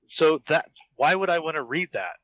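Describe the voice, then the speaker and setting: Bright, slightly high-voiced man chatting. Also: expressive, normal speed. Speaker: podcast guest, author. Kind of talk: casual group chat. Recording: dull, lo-fi voice chat audio.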